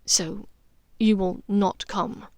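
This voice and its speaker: A woman voicing a frustrated young wizard son in an audiobook, asking questions roughly. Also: mid-range voice, fairly even intonation, takes it slowly, darkish tone, no room sound. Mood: rough, doubtful. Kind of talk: audiobook character dialogue.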